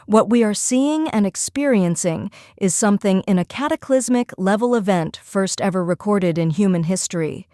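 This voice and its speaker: A woman acts out a composed government geologist in an audiobook drama. The voice is smooth, mid-pitched and dry, with a formal, explanatory delivery. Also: a little mellow, no room sound, natural voice. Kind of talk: audiobook acting, expert briefing.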